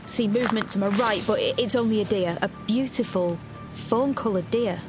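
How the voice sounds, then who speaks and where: A young rebel woman, played by an actress, narrates her isolation in a dystopian audio drama. Her voice sits at a middle pitch, introspective and halting, with music underneath. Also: close to an everyday voice. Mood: wary relief. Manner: introspective, halting, self-questioning.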